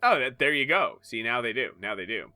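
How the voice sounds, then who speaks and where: A man's voice: mid-range voice, brisk. A man co-hosting a comedy podcast, reading a comic aloud and laughing at it between lines. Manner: animated, often laughing at the text. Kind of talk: comedic dramatic reading with banter.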